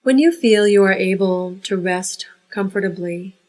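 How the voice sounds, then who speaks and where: Woman, yoga nidra guide, mid pitch, slow unhurried pace, measured instruction. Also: a little mellow.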